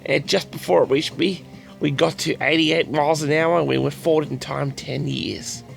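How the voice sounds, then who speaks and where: A man with a tired, raspy voice, chatting casually and loosely to his audience with many restarts. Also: a little high, fairly even intonation, normal speed, soft background music. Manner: rambling, repeats and restarts sentences. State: tired, raspy from a late night. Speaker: audio log host. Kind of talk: casual rambling chat to audience.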